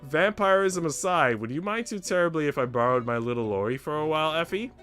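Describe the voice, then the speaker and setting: A man's voice: a little high, lively intonation, fairly quick, fairly bright tone. A man voicing an aloof older woman in a visual novel, mischievous, playful and dramatic, with music behind.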